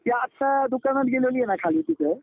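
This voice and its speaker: A man's voice: very high voice, steady tone, a little fast, creaky, no echo. A young man chatting casually with a friend in an insistent, blunt, repetitive way on a phone call. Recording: narrow, tinny phone call recording.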